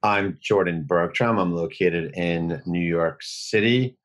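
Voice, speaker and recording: Brief: man, 40s, deep voice, measured mentor presenting on a video call. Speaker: mentor giving a talk. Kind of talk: online presentation.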